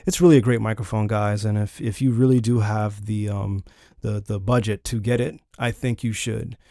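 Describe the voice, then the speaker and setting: A man's voice: fairly low pitch, fairly even intonation, normal speed, warm tone, dry recording. A man giving a casual, chatty product review, talking informally to viewers on a close studio condenser microphone in a home studio. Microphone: close large-diaphragm studio condenser microphone.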